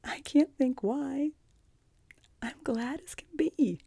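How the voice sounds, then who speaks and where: A woman voicing an imploring, imaginative orphan girl in an audiobook, earnest, wistful and breathy. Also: low voice, animated, a little slow, dark tone, no echo, heavily acted. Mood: tearful joy. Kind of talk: audiobook character voice.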